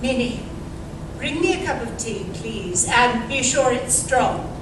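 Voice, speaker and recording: High-voiced, highly strung wife, played by a woman, echoey. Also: lively intonation, takes it slowly. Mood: strained, curt. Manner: tense, imperious, theatrical. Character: agitated middle-aged colonel's wife, genteel, highly strung. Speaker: actor. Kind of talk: melodramatic 1930s radio acting. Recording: distant, echoey, noisy live room.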